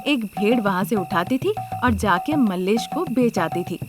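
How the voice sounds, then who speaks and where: A woman's voice: mid-range voice, lively intonation, moderate pace, over music. A woman reading scripted story narration as a narrator.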